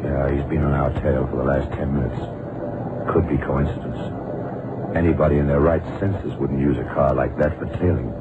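A very deep-voiced, commanding investigator, terse and probing. He speaks in the measured style of vintage radio drama. The recording is narrow, muffled and clearly noisy. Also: steady tone. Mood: terse, watchful. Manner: terse, probing, methodical questioning. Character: seasoned, shrewd male FBI investigator, commanding older colleague. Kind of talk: 1960s-70s radio drama acting.

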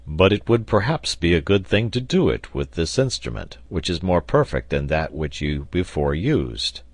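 Learned leader and engineer for a storybook, voiced by a man: low, measured, calm, exact. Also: dry recording. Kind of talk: audiobook character dialogue.

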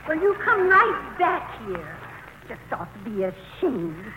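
A woman's voice: fairly high pitch, big pitch swings, takes it slowly. An actress playing a fretful, flustered older wife and doting mother in a 1940s radio play, anxious and coaxing. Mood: coaxing, scolding. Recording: muffled, noisy old radio broadcast.

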